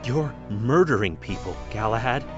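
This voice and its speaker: A man playing a principled, earnest politician in an audio drama, speaking in a measured way. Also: medium pitch, animated, lo-fi sound, music in the background, natural voice. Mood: indignant.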